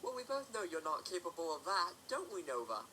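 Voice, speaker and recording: An actor playing a calm, teasing male pony guard in an audio drama. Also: high voice, a little fast, soft background music, exaggerated voice.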